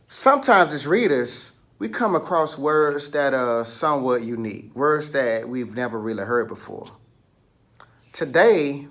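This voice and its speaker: A man's voice: fairly high pitch, a bit bright. A man leading a picture book read-aloud lesson for children, instructing, asking questions and prompting listeners along.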